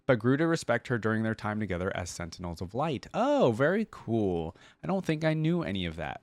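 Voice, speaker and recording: Male host, mid-pitched, casual reaction commentary, thinks aloud as he reads, very dry studio-like sound. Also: dry recording.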